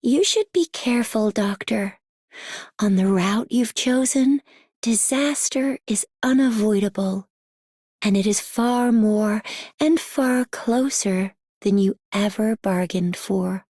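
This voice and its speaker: A woman's voice: mid-range voice, takes it slowly, warm tone, no room sound, studio quality. An actress voicing a reflective, portentous female operator in scripted game voice lines. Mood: ominous calm.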